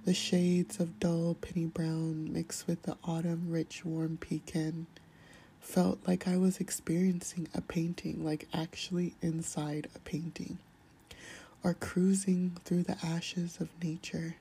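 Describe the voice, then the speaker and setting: A calm, soft-spoken woman hosting a podcast, sharing a reflective personal monologue in a hushed, whispery way. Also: lowish voice, slow, breathy edge. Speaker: podcast host.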